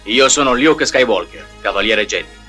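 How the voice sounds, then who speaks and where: A man's voice: mid-range voice, animated, moderate pace, sharp tone, faint music behind. A man playing a brave young knight who speaks firmly and earnestly. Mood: defiant. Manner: firm, earnest.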